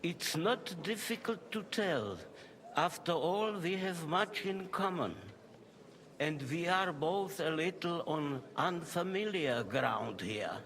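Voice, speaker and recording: An actor playing a dignified medieval rabbi in a video game, speaking in a formal, deliberate and measured way. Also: male voice, fairly high pitch, animated, fairly bright tone, exaggerated voice. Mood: calm, courteous. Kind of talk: video game dialogue acting.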